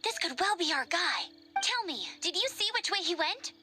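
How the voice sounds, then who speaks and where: A woman playing a confident, eager young female knight scout in a video game, with a brisk, analytical manner. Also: extremely high pitch, very animated, music in the background. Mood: determined.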